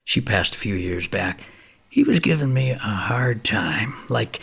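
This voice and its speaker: An actor playing a folksy older widowed homeowner in an audio comedy play, plain-spoken and rambling, with a gravelly, husky voice. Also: male voice, on the low side, big pitch swings, measured pace, a bit bright, heavily acted. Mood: wry.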